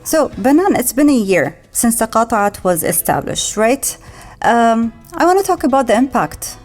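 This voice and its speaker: A woman's voice: fairly high pitch, sing-song, moderate pace, a little mellow. Woman podcast host, music behind her. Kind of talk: podcast interview.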